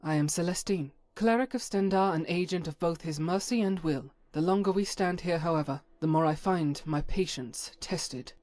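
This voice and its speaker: A woman's voice: lowish voice, moderate pace, few pauses, a little mellow, no echo, clean studio sound, lightly acted. A woman acting a stern, devout cleric in a fantasy video game, solemn, formal and righteous. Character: zealous, stern female cleric, devout and unyielding.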